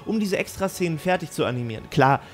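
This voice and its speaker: A man hosting a video, delivering energetic scripted commentary and addressing viewers directly and enthusiastically. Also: on the high side, fast, husky, faint music behind. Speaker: YouTube host.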